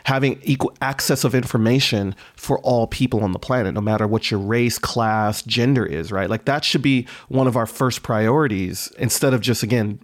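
Earnest guy on a podcast, middle pitch, philosophical, keeps checking in, talking it thru. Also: moderate pace, few pauses. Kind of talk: reflective conversational discussion.

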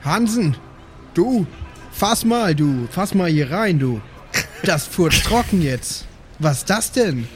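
A man's voice: a little high, expressive, takes it slowly, music in the background. A man playing a crude, joking old male ghost brother in a live improvised audio play, talking folksy and tagging "du" onto his lines. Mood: insistent. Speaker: player.

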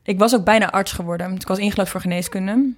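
A woman's voice: medium pitch, steady tone, quick pace. A young woman with a Dutch accent from the Netherlands speaks as a guest in a casual interview. Her voice sits in a middle register, and she talks in a chatty, informal way, often interrupting herself to rephrase. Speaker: interview guest, singer and singing teacher.

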